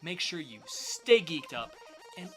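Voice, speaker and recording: Bright-voiced man co-hosting a podcast. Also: on the high side, sing-song, slow pace, faint music behind. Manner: casual, swears freely. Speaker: podcast co-host. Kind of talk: casual banter.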